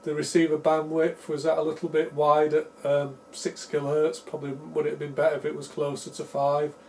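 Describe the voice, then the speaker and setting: A man's voice: a little high, monotone, brisk, a little mellow, a little hiss or hum. A man presenting an electronics repair video in a casual, unscripted way, explaining each step tentatively and with self-deprecation. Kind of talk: casual unscripted technical walkthrough.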